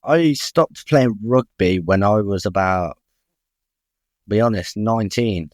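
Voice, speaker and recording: Young male guest, low register, dry close mic, candid and talkative. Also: slow pace, dry recording. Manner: open, frank, chatty, restarts with "I'll be honest". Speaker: guest boxer. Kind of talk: candid podcast interview.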